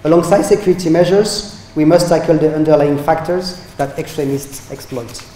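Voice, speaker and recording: Man giving a measured formal speech. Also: fairly high pitch, warm tone. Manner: measured, read-out delivery. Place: conference hall. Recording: echoey conference hall, faint noise.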